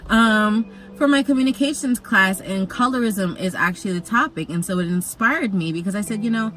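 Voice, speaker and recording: A young woman who grew up in Jamaica, telling a personal story in a chatty, animated vlog with jokey asides. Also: medium pitch, brisk, poor audio. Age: young adult. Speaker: vlogger.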